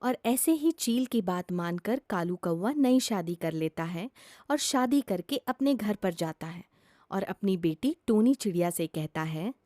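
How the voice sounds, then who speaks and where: A woman's voice: mid-range voice, expressive, measured pace, dark tone, no echo, clean studio sound. A woman narrating a scripted children's story as a storyteller.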